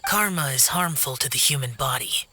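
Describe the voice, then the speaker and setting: A man's voice: mid-range voice, animated, normal speed, pushed and bright, breathy edge, exaggerated voice. A man voicing a terse, aloof young guardian who slays demons, in a game cutscene. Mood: cold. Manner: terse, grave, distant. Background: game music.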